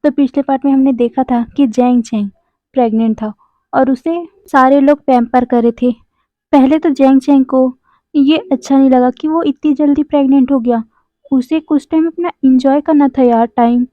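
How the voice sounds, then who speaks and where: A woman with a slightly high voice, hoarse from a sore throat, retells a story in a loose, chatty way and keeps breaking off to talk straight to her viewers. The recording is tinny and phone-like, and very dry, with no room sound at all. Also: no room sound. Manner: chatty, addresses viewers directly, self-correcting asides. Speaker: YouTuber retelling fan fiction.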